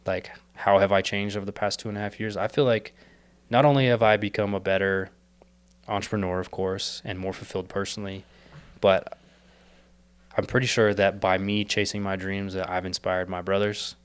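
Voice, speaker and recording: A young man talks earnestly in a low, smooth voice, wandering through his thoughts with fillers and restarts. His voice is a little worn, as if he is losing it. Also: level tone, moderate pace. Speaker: podcast guest, startup co-founder. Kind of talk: casual reflective interview talk.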